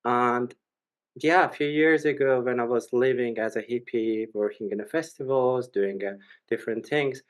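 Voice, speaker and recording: A man's voice: mid-range voice, moderate pace. A man introducing himself as a panel guest on a video call in a chatty, storytelling way. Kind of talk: casual self-introduction.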